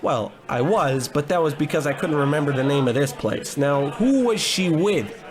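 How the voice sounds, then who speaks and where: A man acts out a bumbling private detective in a comic radio play. His voice sits fairly high, and he blusters and grumbles along with great self-importance, oblivious to everyone around him. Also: lively intonation, normal speed, crowd noise, lightly acted. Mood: self-important, insistent. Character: bumbling, blustery, gluttonous male private investigator. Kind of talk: scripted comedy in old radio detective style.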